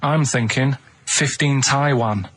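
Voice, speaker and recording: A man with a middling voice chats casually on a comedy radio show. He explains his ideas in earnest but halting, muddled fashion, over faint noise and clicks. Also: medium pitch, fairly bright tone. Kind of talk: casual comic chat.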